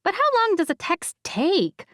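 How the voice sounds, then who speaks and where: A woman's voice: very high voice, huge pitch swings, measured pace, fairly bright tone, no echo, studio quality, pitched above the performer's own voice, heavily acted. A woman voicing a sassy, petite young woman friend who talks bluntly and teasingly. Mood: prodding.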